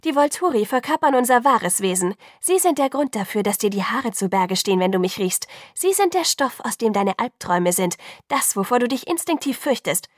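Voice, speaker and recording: A woman's voice: a little high, brisk, no echo, clean studio sound, natural voice. A woman voicing an impatient, fierce young female vampire in an audiobook, urgent and commanding. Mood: angry, defiant.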